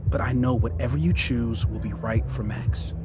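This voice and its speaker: Guy playing a serious caring friend reading out his letter in a game, thoughtful, mid pitch, music really loud under him. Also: lightly acted. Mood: apologetic. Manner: measured, thoughtful, hesitant pauses. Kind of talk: dramatic video game voice-over of a letter. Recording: music behind the voice.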